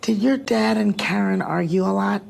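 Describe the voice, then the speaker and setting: An actress playing a concerned confidante in a screen drama, gently questioning. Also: female voice, medium pitch, moderate pace, creaky. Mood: probing.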